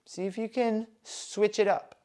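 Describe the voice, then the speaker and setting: A man softly leading a guided breathing meditation, with calm, soothing instructions. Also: sits high, clean tone. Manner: slow, soft, calm and soothing guidance.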